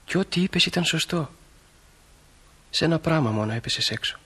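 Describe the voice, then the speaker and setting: An actor playing an earnest, eager small-town teenage boy in a stage drama, speaking sincerely and impulsively with a slightly husky voice. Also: a little high, expressive, pushed and bright, heavily acted. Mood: eager, resolute. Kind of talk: stage drama acting for broadcast.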